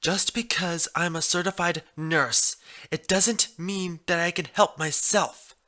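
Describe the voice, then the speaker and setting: An exasperated, embarrassed mare nurse from a pony story, acted out by a man. The voice is lifted high and bright, with hesitant protests from someone hurt who needs help. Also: steady tone, slow pace, exaggerated voice.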